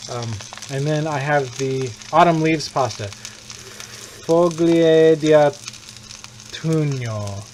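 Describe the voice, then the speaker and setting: A man's voice: fairly high pitch, slow, long pauses, a little mellow, poor audio. A man from the United States hosting a live cooking stream, talking casually and rambling with joking asides as he cooks in a home kitchen. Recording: clear kitchen sizzle and frying noise.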